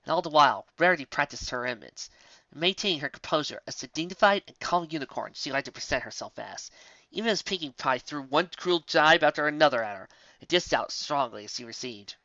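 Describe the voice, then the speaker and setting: A woman's voice: low voice, animated, a little fast, bright, forceful tone, dry recording. A woman narrating a scripted story expressively as a storyteller. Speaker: storyteller, reader. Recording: slightly dull, dry home recording.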